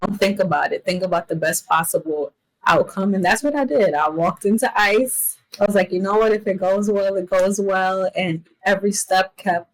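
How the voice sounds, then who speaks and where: A woman's voice: mid-range voice, moderate pace, poor audio. A woman in her late twenties, a chef, talking as a podcast guest in an upbeat, reflective way. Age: 20s. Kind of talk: casual interview chat.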